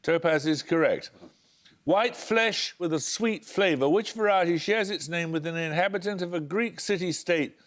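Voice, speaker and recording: A man hosting a television quiz show, reading introductions and questions in a measured, precise way. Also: sits high, fairly bright tone. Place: television studio.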